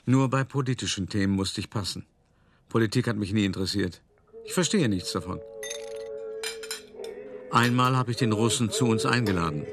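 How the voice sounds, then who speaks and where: A man playing a shrewd, worldly art dealer who recounts his past in a radio drama, in a wry, measured, conversational way. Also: fairly low pitch. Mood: wry reminiscing. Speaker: narrator. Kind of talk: radio drama monologue, first-person storytelling.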